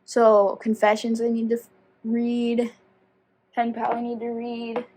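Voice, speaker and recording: A woman's voice: fairly high pitch, monotone, unhurried, darkish tone, a little hiss or hum, poor audio. A woman chatting casually to viewers as a book vlogger, rambling and self-deprecating as she goes off on tangents. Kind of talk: casual chatty video talk.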